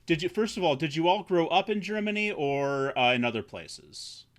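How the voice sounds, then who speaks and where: A man hosting a casual roundtable chat over a video call, laid-back and quick to laugh. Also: a little high, animated. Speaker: host.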